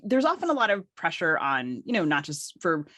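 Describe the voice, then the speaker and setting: A man's voice: on the high side, wildly swooping pitch, fairly quick, bright, forceful tone, no echo, clean studio sound. A man hosting a conversational interview, building his questions discursively as he thinks aloud. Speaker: podcast co-host, interviewer.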